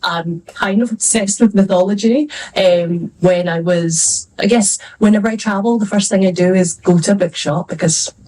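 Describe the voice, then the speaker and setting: A woman's voice: medium pitch, normal speed, hardly any pauses, warm tone, recorded from a distance, poor audio. A Scottish woman talking casually on a festival panel, chatty and rambling, laughing often. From Scotland. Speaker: author on a panel. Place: festival venue.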